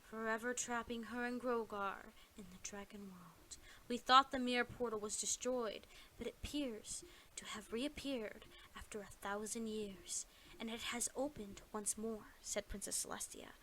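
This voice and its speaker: Bright-voiced woman narrating dramatically. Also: a little high, steady tone, unhurried, a little hiss or hum, rough recording. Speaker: storyteller.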